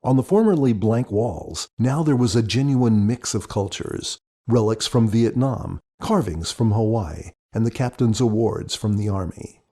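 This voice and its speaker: A man reading scripted first-person audiobook narration in a measured storytelling manner. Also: fairly low pitch, animated, warm tone. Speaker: author narrating his audiobook.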